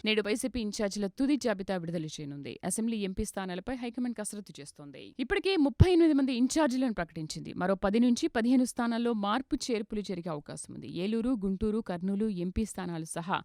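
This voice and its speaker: A woman's voice: mid-range voice, lively intonation, fast talker, few pauses, darkish tone, no room sound, studio quality, faint music behind. A woman reads a scripted news bulletin as a news reader in a formal manner.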